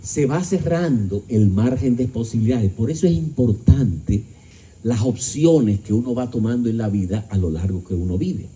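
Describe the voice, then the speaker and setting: Dark-voiced man lecturing emphatically. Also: animated, normal speed. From Dominican Republic. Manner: rhetorical questions to the audience, joking asides; emphatic, shifting pitch and volume. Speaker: speaker at a discussion gathering. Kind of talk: philosophical lecture to an audience.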